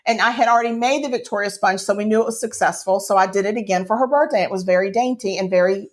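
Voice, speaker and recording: An American woman co-hosting a podcast, chatting casually in a loud, booming voice and laughing often. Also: fast. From United States. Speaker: podcast co-host appearing as guest. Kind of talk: casual chat.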